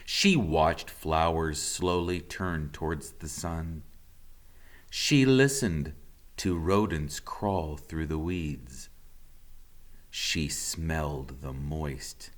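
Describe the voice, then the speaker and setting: A man reading a children's storybook aloud expressively, with deliberate dramatic emphasis and hushed drops. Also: rumbling low.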